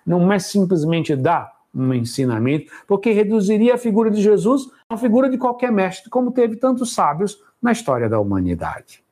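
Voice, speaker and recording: São guy teaching a bible class, pitch bouncing all over, keeps asking "right?". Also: big pitch swings, fairly quick, darkish tone. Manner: explanatory, conversational, many tag questions and restarts. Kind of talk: bible study lecture.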